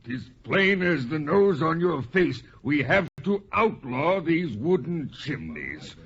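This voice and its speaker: An actor playing an exasperated, officious colonial town mayor in 1940s radio style, with a formal, emphatic, declamatory delivery. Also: male voice, fairly high pitch, big pitch swings, takes it slowly, a bit bright, exaggerated voice. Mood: stern. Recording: dull 1940s radio transcription.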